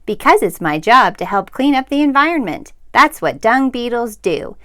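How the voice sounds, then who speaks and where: A woman's voice: a little high, sing-song, normal speed, pushed and bright. A woman voicing a busy, proud, earnest little male dung beetle in a children's storybook, eagerly explaining his job. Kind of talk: children's storybook read-aloud.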